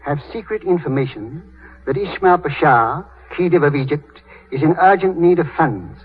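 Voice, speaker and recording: An actor playing a shrewd, courtly older British statesman with dry wit, husky and theatrical, in 1930s radio drama style. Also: fairly high pitch. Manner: urbane, theatrical, wryly deliberate. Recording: muffled 1930s radio recording, faint music.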